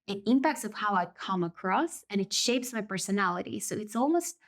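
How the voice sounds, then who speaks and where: Woman, native Russian speaker with a Russian accent, solo podcast host, measured and reflective, mid-range voice. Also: medium pitch, expressive. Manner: thoughtful, measured, self-correcting.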